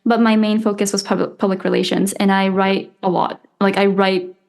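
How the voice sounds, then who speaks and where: A woman's voice: mid-range voice, level tone, normal speed, darkish tone. A woman in her twenties whose first language is Japanese, a podcast guest chatting casually with plenty of "like" and "um". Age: 20s. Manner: chatty, lots of "like" and "um", laughs lightly. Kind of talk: casual interview chat.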